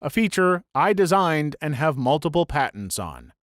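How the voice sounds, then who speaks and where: A man narrates online anecdotes in a brisk, even reading voice with the odd dry aside. His voice sits a bit high for a man. The sound is close and completely dry. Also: dry recording. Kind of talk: scripted story narration.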